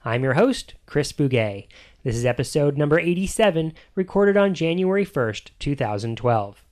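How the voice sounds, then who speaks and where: Animated American man hosting a podcast. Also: fairly high pitch, moderate pace. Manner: playful, punning delivery. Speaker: podcast host. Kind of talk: scripted podcast introduction.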